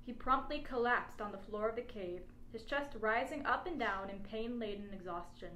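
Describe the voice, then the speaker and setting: A woman's voice: fairly high pitch, moderate pace, pushed and bright. A woman reading out a humorous adventure story as its narrator, in scripted prose. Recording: echoey space with faint background noise.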